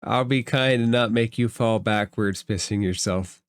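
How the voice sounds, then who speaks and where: A man's voice: fairly low pitch, moderate pace, no room sound, clean studio sound. A man running an improvised tabletop roleplaying game as game master, talking in an informal, conversational way.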